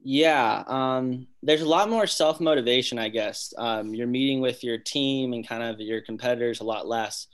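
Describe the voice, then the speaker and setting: A man's voice: mid-range voice, moderate pace, hardly any pauses. A man in his twenties, a college athlete, answering press questions over a video call, easygoing and confident with lots of casual fillers. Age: 20s. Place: small room indoors. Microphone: laptop webcam on a video call.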